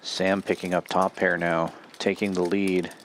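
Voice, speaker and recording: A man calling live poker action as a stream commentator, in short, steady phrases. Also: low voice, measured pace, a little hiss or hum.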